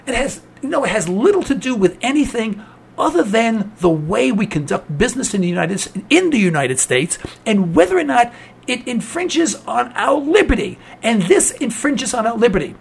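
A man's voice: very high-pitched, sing-song, moderate pace, vocal fry, husky. A man with a New York accent hosting a talk program, delivering a cynical political rant. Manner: cynical, ranting. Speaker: radio talk host.

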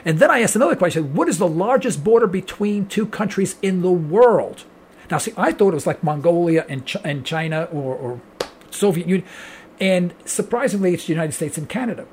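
A man's voice: sits high, animated, fairly quick, a little hiss or hum. An older man from New York, a radio host who rambles, stammers with repeated words and jokes while interviewing a guest. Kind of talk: casual interview.

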